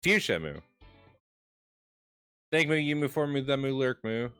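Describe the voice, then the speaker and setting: A fuzzy puppet-like creature babbles with a nonsense syllable stuck onto each word, as a man puts the voice on. The voice sits above his normal low register. Faint music plays behind it. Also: animated, unhurried, a bit bright, clear, resonant tone. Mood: silly. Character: fuzzy Muppet-like creature saying mu after every word.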